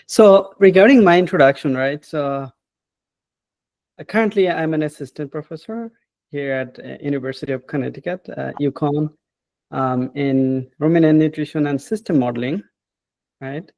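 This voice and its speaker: A man, a professor talking as an interview guest in an unhurried, hesitant way and often adding "right?". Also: fairly high pitch, darkish tone, no room sound. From Bangladesh. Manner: unhurried, hesitant, with frequent "uh" and tag questions like "right?". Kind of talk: casual interview.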